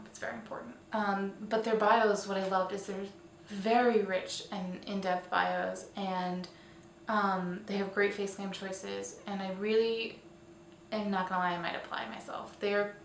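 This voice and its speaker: A woman presents a casual online roundup in an informal, chatty manner. Her voice sits at an average pitch and she drops in self-deprecating asides. The recording is roomy and echoey, a little away from the mic, with faint noise underneath. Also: level tone, normal speed, creaky, far from the mic. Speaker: host of a weekly online roundup show.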